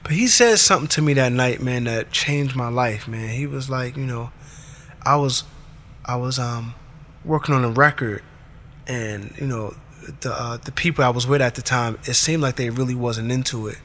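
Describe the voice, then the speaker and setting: A man with a raspy voice hosting his own show, delivering an emphatic motivational monologue in short punchy commands. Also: mid-range voice, moderate pace, fairly bright tone, a little hiss or hum. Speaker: podcast host.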